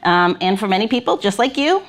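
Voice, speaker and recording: A woman's voice: mid-range voice, very animated, brisk. A woman in her forties hosting a podcast, joking and giggling through casual chat. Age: 40s. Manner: giggly, jokey, playful. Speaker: podcast host.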